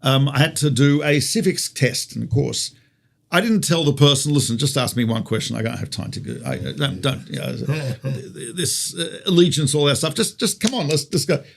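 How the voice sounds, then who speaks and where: A man's voice: a little high, lively intonation, moderate pace, vocal fry. A man with an Australian accent, a rabbi teaching conversationally through stories and rhetorical questions. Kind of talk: conversational religious teaching with storytelling.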